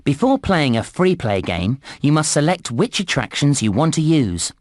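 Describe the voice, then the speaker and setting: A man voicing a chirpy, helpful theme park guide in a children's video game, giving scripted instructions clearly and deliberately. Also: on the high side, sing-song, no room sound, studio quality. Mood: helpful, upbeat. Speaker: video game tutorial narrator.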